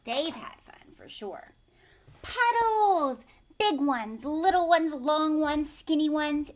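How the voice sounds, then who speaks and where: Slow, higher-pitched woman reading to children. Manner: expressive, asks the young listeners questions in asides. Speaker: teacher reading a picture book aloud. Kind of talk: read-aloud storytime for young children.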